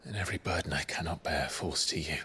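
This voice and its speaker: An actor playing a brooding, determined rebel leader in a video game, solemn and measured. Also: male voice, rumbling low, bright, forceful tone, slight background noise. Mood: frustrated, burdened. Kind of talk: dramatic video game cutscene acting. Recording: cinematic music behind the voice.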